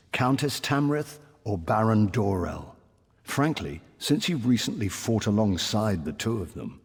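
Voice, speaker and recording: Fantasy game high king: low, crisp voice, weary and wry, measured delivery in a reverberant hall. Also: heavily acted. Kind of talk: fantasy video game voice acting.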